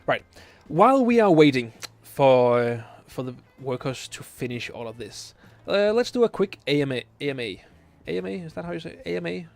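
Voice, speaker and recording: Gravelly-voiced Danish man, hesitant. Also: fairly high pitch, sing-song, moderate pace, few pauses, no echo. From Denmark. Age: 20s. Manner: hesitant, many filler uhs, searching for English words. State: tired late at night. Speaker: streamer. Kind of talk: casual live stream chat, reading chat questions.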